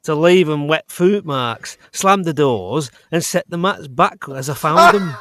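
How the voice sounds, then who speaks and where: An actor playing a gleeful, sly old smuggler captain with a rural English accent, speaking in dialect with chuckling relish in an audio drama. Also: male voice, on the high side, animated, measured pace, sharp tone. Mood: gleeful confession.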